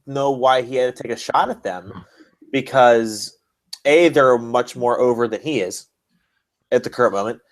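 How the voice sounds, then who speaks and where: A man hosting a casual wrestling podcast, ranting and bantering in an emphatic, sarcastic way. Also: medium pitch. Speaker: podcast host.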